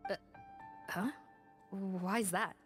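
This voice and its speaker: A woman's voice: mid-range voice, steady tone, drawn-out words. An actress playing a dutiful woman team leader who speaks politely but firmly. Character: dutiful woman squad leader, practical and firm. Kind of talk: video game dialogue. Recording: faint game music behind.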